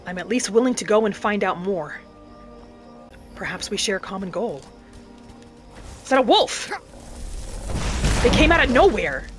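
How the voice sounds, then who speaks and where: A woman's voice: mid-range voice, very animated, a little slow, pauses often. A woman giving in-character roleplay commentary over a game, musing aloud in a dramatic manner. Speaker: gaming roleplay narrator. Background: faint music.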